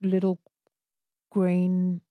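A woman's voice: fairly low pitch, completely flat delivery, drawn-out words, dark tone, no echo. A woman with an Australian accent, chatting live as the host of a late-night radio talkback show.

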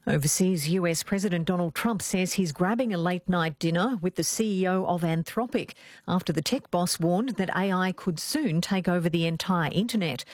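A woman reading a scripted news bulletin in a measured, even way. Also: fairly low pitch, no echo. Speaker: newsreader. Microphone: studio microphone.

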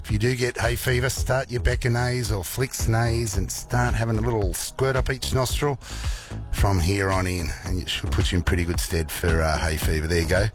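A male Australian radio presenter with a croaky morning voice, chatting casually and playfully with listeners between weather and songs. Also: fairly low pitch, steady tone, normal speed, music in the background. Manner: chatty, playful, rambling asides. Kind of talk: casual breakfast radio chat. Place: radio studio.

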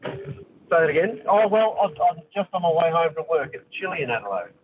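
A man's voice: fairly high pitch, big pitch swings, fairly quick. A man chatting casually as a radio quiz caller on a speakerphone. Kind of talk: casual phone chat. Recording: noisy, tinny speakerphone call.

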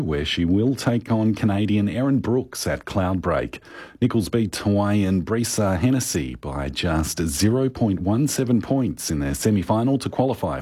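A man reading a news bulletin and the weather in a steady, formal way. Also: deep voice, husky. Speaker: newsreader.

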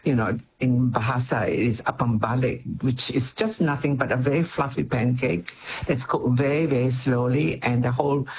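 A man's voice: medium pitch, fairly even intonation, normal speed, creaky, a little hiss or hum. A man, a cooking educator and author, talking food on the radio with enthusiasm and frequent laughs. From Malaysia. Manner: enthusiastic, descriptive, laughs often. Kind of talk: radio interview.

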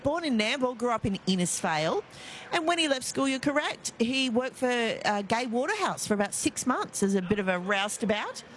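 An Australian woman hosting live radio from outside a stadium, her voice a touch high and very lively in pitch. She chats easily with callers, teasing herself and laughing often. Faint outdoor noise sits behind her. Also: normal speed. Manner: chatty, laughs easily, self-mocking. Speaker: radio host. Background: faint ambient noise.

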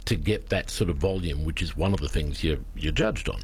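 A man hosting an interview, asking relaxed, agreeable questions. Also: extremely low pitch, fairly quick, slight background noise. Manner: relaxed, agreeable, frequent yeahs. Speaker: interviewer host. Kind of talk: casual interview chat.

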